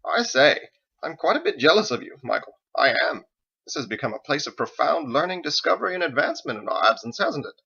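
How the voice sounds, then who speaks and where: A man's voice: on the high side, sing-song, fairly quick, gravelly, bright, forceful tone, husky, muffled recording, voice pushed up, exaggerated voice. A man voicing a pompous, verbose, prim young paladin churchman in an audiobook, with florid, fussy, over-formal diction. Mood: effusive, self-satisfied. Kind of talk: audiobook character voice.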